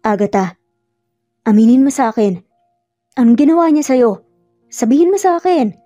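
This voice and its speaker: A woman's voice: fairly high pitch, expressive, moderate pace, dark tone, no room sound, soft background music. A woman with a Filipino accent voicing an earnest, insistent man pleading with his lost love in a narrated romance.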